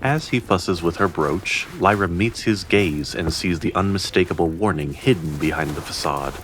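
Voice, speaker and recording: A man's voice: low voice, normal speed, a bit bright, a little hiss or hum, poor audio. A man narrating a scripted fantasy audio drama in a formal, literary storytelling manner. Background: faint music.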